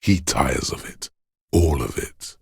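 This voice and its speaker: An actor voicing a video game character, a paranoid, aggressive inner voice of fight-or-flight instinct, speaking in an ominous, raspy, urging way. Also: male voice, animated, no room sound, studio quality, soft background music, exaggerated voice. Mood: menacing, brooding. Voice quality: very deep, gravelly, raspy. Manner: slow, deliberate, ominous urging.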